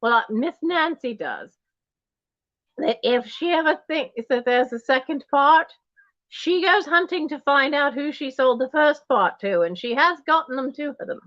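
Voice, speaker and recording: A woman's voice: sits high, expressive, a little slow, a bit bright, no echo, highs cut off. A woman doing a snooty posh British lady. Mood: haughty. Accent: put-on posh British. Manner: condescending, calls everyone darling and commoners. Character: snobbish posh lady in wig and diamonds. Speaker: auction host. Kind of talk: in-character livestream auction.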